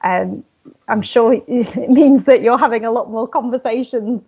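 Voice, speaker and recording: A woman's voice: fairly high pitch, measured pace, darkish tone, slightly rough, muffled recording. A woman hosting an interview, asking thoughtful questions with fillers and hesitations. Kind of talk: interview conversation.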